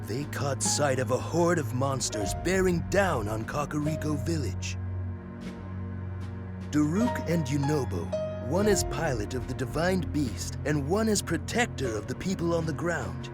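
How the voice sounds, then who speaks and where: Bookish chronicler telling a game story, highish voice, formal but animated, music under it. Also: male voice, a little slow. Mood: solemn, intrigued. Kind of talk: scripted story narration for a video game.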